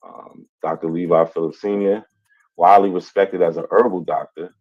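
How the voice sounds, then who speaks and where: A man talking casually through his family records, hesitant and pausing often on fillers. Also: low voice, steady tone, breathy edge, highs cut off. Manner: hesitant, many filler pauses. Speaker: amateur genealogist. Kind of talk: casual explanatory talk.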